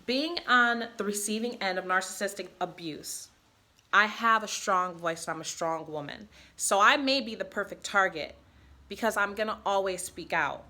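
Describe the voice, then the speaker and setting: A woman's voice: medium pitch, normal speed, bright, forceful tone. A woman speaking in a personal vlog, a mother talking bluntly and with passion, swearing freely. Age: adult mother. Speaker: vlogger.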